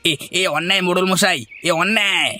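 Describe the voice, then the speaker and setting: A man's voice: sits high, normal speed, pushed and bright, faint music behind, pitched above the performer's own voice. A man voicing a sycophantic, spiteful village man in a cartoon, with a pushy, wheedling and agitated manner. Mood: spiteful, indignant. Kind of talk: Bengali moral-story cartoon acting.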